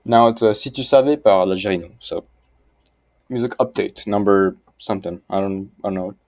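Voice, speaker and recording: A man's voice: on the low side, a little fast, darkish tone, no room sound. A man casually commentating on on-screen lessons, thinking aloud in a mumbled aside manner. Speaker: host of a language-learning series. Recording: clearly noisy home recording.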